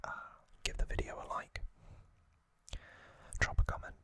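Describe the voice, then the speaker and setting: A man hosting ASMR, narrating in hushed whispers with frequent pauses and a gentle, reassuring manner. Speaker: ASMR host.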